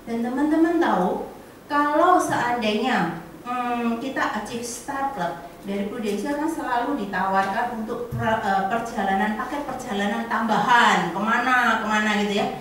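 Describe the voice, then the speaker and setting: A woman's voice: a little high, moderate pace, a bit bright, a little hiss or hum. A middle-aged woman, an insurance agent giving a chatty motivational talk to colleagues and laughing often. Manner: chatty, laughs often, addresses audience as friends. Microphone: camera or phone at a distance. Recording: echoey room, far from the mic.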